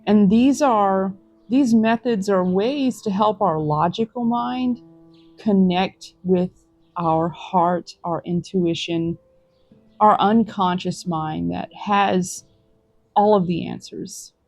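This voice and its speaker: Slow, gentle woman giving a tarot reading. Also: mid-range voice, no room sound, faint music behind. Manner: gentle, reflective, encouraging, with small restarts. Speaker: tarot reader. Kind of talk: unhurried spoken tarot reading to viewers. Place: outdoors in a forest.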